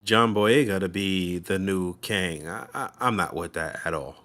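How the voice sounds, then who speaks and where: A man's voice: sits low, measured pace, a bit bright. A man co-hosting a podcast in casual, profane, laughing banter. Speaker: podcast co-host. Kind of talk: casual chat.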